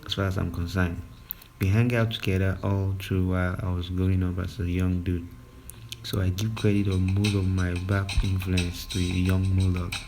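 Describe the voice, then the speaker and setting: A young Nigerian man hosting a podcast, telling his own life story in a loose, slangy, reflective way. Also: low voice. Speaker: podcast host. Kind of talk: personal storytelling monologue.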